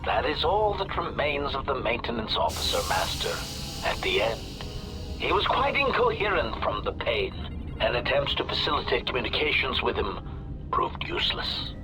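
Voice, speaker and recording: A courteous, smugly murderous protocol droid from a video game. The robotic voice is formal and pedantic, announcing each kind of statement, with very lively swoops in pitch. Music plays clearly behind it. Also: male voice, normal speed, low-quality recording. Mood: coolly courteous. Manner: formal, pedantic, labels statements before saying them. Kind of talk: scripted video game dialogue.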